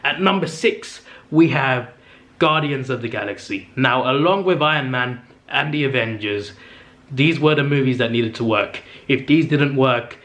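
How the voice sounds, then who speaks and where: A young man talks straight to camera in a loose, excitable ramble, stumbling over words and correcting himself as he goes. His voice sits at an ordinary middle pitch and sounds slightly worn, as if he is losing it. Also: normal speed. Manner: chatty and enthusiastic, with frequent fillers and self-corrections. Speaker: movie review channel host. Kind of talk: casual ranking monologue to camera.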